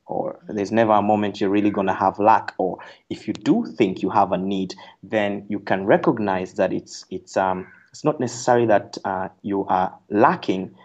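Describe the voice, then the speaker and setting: Low-voiced man from Kenya, muffled line. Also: moderate pace, few pauses, a little mellow. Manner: thoughtful, explanatory, frequent fillers and restarts, occasional laughs. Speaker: author guest. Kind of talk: radio interview conversation.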